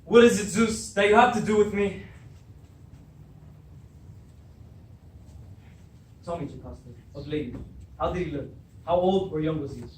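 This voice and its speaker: Troubled, hot-tempered tragic king, played by a young man, higher male voice, stage readers theatre, distant mic with some noise. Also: fairly quick, natural voice. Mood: shaken, dread. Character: troubled, hot-tempered king of Thebes, fearful and guilt-ridden. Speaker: student actor. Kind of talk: readers theatre stage acting of Greek tragedy. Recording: distant echoey room recording with background noise.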